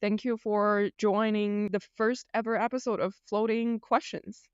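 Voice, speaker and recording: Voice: mid-range voice, little pitch movement, unhurried, smooth, no room sound, dull audio. A podcast host opening the show and asking the guest plain, curious questions.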